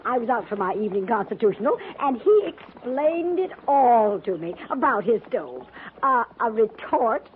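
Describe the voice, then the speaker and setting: A prim, naive lady reformer, played by a woman, genteel and effusive. Her highish voice swings animatedly. It comes through a narrow, noisy 1950s radio western recording. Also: animated, normal speed, lightly acted. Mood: naively delighted. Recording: narrow-band 1950s radio with background noise.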